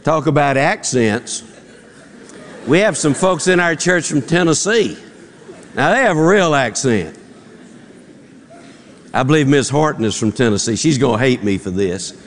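A man's voice: mid-range voice, lively intonation, unhurried, long pauses, slight background noise. A man with a Southern accent preaching to his congregation, telling folksy jokes in a drawl and acting out the voices in them. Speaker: pastor. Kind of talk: sermon with folksy joke-telling. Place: church auditorium. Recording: long reverb in a large hall.

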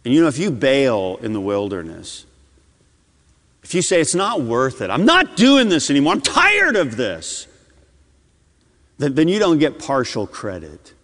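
Male pastor preaching a fervent sermon, lively voice, reverberant church sound. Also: medium pitch, a little slow, pauses often. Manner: impassioned preaching, swings between loud and hushed. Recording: long hall reverb.

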